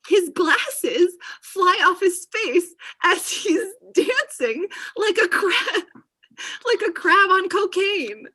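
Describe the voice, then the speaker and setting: Bright-voiced, overenthusiastic young woman, acted by a woman. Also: very high voice, lively intonation, slow pace, exaggerated voice. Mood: gushing, teasing. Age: young adult. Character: chatty, overenthusiastic thirty-year-old woman, possessive best friend. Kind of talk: comedy table read. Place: indoor room on a video call. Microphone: video call.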